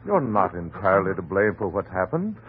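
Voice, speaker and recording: An actor playing a steady, reassuring family doctor, earnest and firm, in an old radio horror drama. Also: male voice, sits low, brisk. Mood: soothing, firm. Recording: very muffled, noisy old radio recording.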